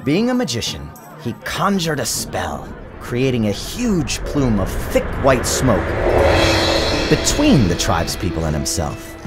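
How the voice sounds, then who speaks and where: A man's voice: mid-range voice, measured pace. A man giving a dramatic, theatrical scripted narration of a storybook, with music behind him. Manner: theatrical, expressive. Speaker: author narrating his storybook.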